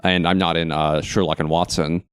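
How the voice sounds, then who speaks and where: Deep, dry-recorded male co-host, chatty. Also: level tone, moderate pace, smooth, no room sound. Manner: chatty, wry. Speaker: show co-host and amateur actor. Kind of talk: casual conversational chat.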